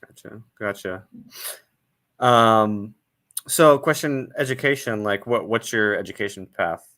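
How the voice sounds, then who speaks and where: A man's voice: lowish voice, unhurried, bright, forceful tone. A man hosting a casual video interview, who rambles, jokes and stumbles over his words. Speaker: interviewer host.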